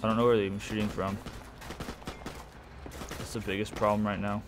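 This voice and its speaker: A man's voice: lowish voice, level tone, a little fast, background noise. A man giving casual, slangy commentary as he plays a video game, grumbling in exasperation. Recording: game gunfire behind the voice. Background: shooter game gunfire and military chatter.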